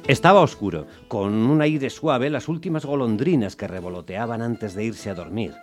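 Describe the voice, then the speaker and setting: A man's voice: mid-range voice, moderate pace, darkish tone, close to an everyday voice. An actor playing a weary, put-upon working-class taxi driver who tells his story in a colloquial, grumbling way in a radio drama. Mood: resigned narrating. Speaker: first-person narrator and lead actor. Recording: music under the voice. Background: music.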